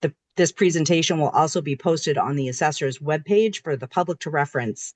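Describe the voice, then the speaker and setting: A woman who is hoarse from a cold, speaking on a video call as a town assessor and walking through a formal presentation in a methodical, explanatory way. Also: deep voice, fairly quick, dry recording. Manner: methodical, explanatory, reading from slides. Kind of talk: formal public meeting presentation.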